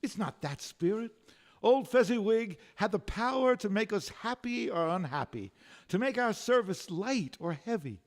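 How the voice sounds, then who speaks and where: Old male miser, high creaky voice, wide emotional swings, remorseful, old-time radio drama. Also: heavily acted. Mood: earnest defensive. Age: older. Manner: emotional, exclamatory, drawn-out delivery. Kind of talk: 1940s radio drama acting.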